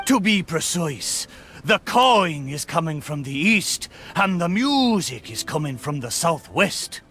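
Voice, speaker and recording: Animated, blustering boat. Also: male voice, a little high, faint music behind, full character voice. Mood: musing, hearty. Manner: blustering, drawn-out exclamations, pirate talk. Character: talking pirate boat, hearty, excitable old seafarer. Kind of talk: theatrical video game character acting.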